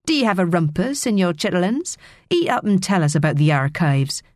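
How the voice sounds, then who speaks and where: A woman's voice: lowish voice, big pitch swings, fairly quick, a little mellow, dry recording, studio quality. A woman voicing a blunt, brash mermaid in a storybook, full of salty sailor slang. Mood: brisk, hearty.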